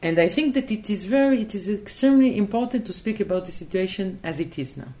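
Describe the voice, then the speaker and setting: A woman's voice: medium pitch, expressive, fast talker, mellow. A woman from Israel, answering in a thoughtful interview and hesitating and restarting as she looks for words. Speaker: interview guest.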